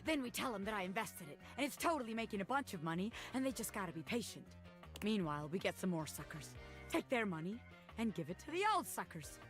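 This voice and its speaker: A woman's voice: medium pitch, animated, fast talker, a bit bright, faint music behind, close to an everyday voice. A woman playing a cocky, streetwise small-time gangster pin in a video game, talking in brash wiseguy slang. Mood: cocky, scheming. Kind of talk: video game character acting.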